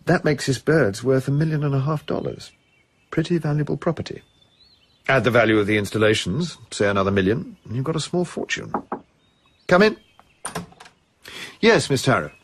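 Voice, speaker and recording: An actor in a radio drama playing a jovial, chatty colonial official with an upper-class English accent. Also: male voice, medium pitch, lively intonation, moderate pace, long pauses, a little hiss or hum, natural voice. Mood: chatty, informative. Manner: chatty, old-school enthusiasm.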